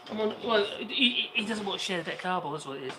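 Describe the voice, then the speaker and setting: A man with a hoarse voice co-hosting a casual livestream chat outdoors, sarcastic and fond of rhetorical questions. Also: high voice, lively intonation, racing, noisy background. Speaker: co-host, video channel maker. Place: outdoors on an island, sitting on cardboard.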